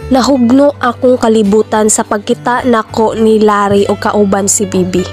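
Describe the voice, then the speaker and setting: A woman's voice: on the high side, fairly even intonation, normal speed, darkish tone, faint music behind, lightly acted. A woman with a Filipino accent playing a heartbroken young woman narrating her love story in a radio drama. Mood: hurt, mournful. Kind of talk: radio drama letter narration, slow and sentimental.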